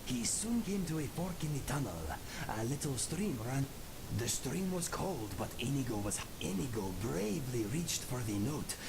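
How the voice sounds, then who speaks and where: A man's voice: mid-range voice, vocal fry, background noise. A man voicing a proud, affable cat-man adventurer in a fantasy game, telling stories theatrically and formally with whispery suspense. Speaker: companion character in a fantasy game. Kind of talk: theatrical storytelling in the third person.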